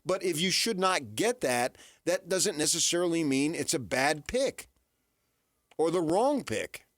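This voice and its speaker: A man with a high voice and swooping, lively intonation argues sports as a radio host. He is insistent and drives each point home by repeating it. The studio sound is dry and close. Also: measured pace, dry recording. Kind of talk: unscripted sports debate.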